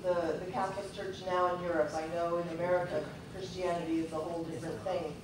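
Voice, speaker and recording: Woman audience member, hesitant question, lowish voice, far off in a very echoey noisy hall. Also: level tone, fast talker. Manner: hesitant, searching for words.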